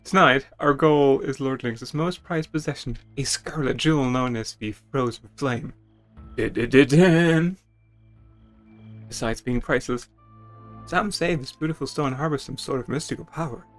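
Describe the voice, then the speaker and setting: A man's voice: medium pitch, moderate pace. A man streaming a dramatic, theatrical reading of game text, with casual asides to viewers. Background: music.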